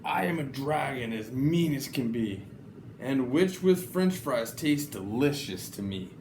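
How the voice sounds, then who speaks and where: A man's voice: medium pitch, animated, measured pace, a little mellow, echoey room, slight background noise, close to an everyday voice. A man voicing a greedy dragon from a children's storybook, a mean beast that can turn timid. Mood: menacing. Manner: menacing, then sputtering when scared.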